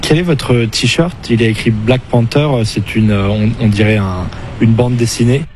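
A male reporter asking interview questions outdoors on a city street, halting, with fillers and restarts. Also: mid-range voice, a little mellow, a little hiss or hum.

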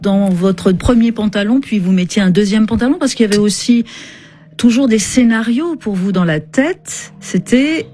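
A woman hosting a radio interview, articulate and measured as she sums up and puts questions to her guest. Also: mid-range voice, animated, warm tone, husky, faint music behind. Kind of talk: broadcast radio interview, reading excerpts aloud.

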